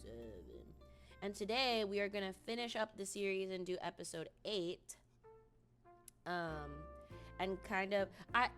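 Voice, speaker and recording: A young woman chats casually on a live stream, rambling along with plenty of ums and likes. Her voice sits at a medium pitch and still carries the last of a cold. Faint music plays softly underneath. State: recovering from a cold.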